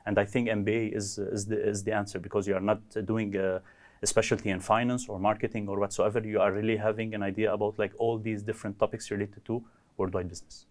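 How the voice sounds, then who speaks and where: A man from Lebanon, an engineer answering interview questions in a measured way, often restarting phrases. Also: lowish voice, little pitch movement, a little mellow. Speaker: MBA graduate, engineer.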